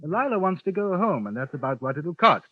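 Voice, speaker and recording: Calm and courteous, this gentleman gunman speaks with dry irony, his voice sitting a little high and swinging widely in pitch. The old radio recording is tinny and narrow, like a phone line. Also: fairly quick. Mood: coolly ironic. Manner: dry, courteous, coolly ironic. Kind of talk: 1950s radio drama acting.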